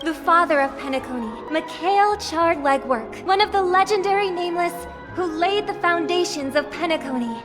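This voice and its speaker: An actress playing a poised young woman who gives a formal, gracious ceremonial speech in a video game. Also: very high voice. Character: poised young woman, festival hostess of a ruling family. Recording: orchestral game music behind. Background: music.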